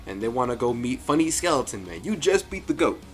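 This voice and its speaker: A man giving a casual, jokey review commentary as the host, with animated delivery and frequent asides. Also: mid-range voice, fairly quick. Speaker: host of a fan story review show. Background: music.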